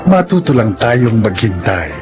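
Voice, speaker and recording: A Filipino man announces in classic scripted radio-drama style. His medium-pitched voice moves with lively intonation over clearly heard music. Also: unhurried. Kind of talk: scripted radio drama closing credits narration.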